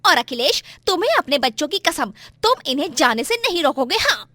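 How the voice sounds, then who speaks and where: Woman acting a shrill, spiteful daughter-in-law. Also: big pitch swings, a little fast, dry recording, exaggerated voice. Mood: threatening, bitter. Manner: scolding, taunting, forceful. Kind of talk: melodramatic moral-story dialogue.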